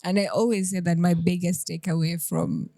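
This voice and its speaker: A young woman from Uganda, a guest in a casual interview, talking earnestly and sometimes quickly, often retelling her own thoughts aloud. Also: fairly low pitch, steady tone, mellow, dry recording, studio quality.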